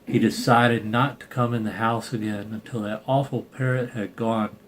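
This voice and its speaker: A man's voice: medium pitch, steady tone, normal speed, slight background noise. A man reading a children's picture book aloud, with casual asides between the lines. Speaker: storybook reader and channel host.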